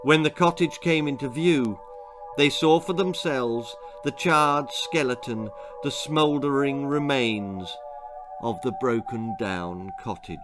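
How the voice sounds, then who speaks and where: A man in his sixties with a British accent, reading a spooky comic children's story in a measured, dramatic way. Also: medium pitch. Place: small book-lined room indoors. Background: music.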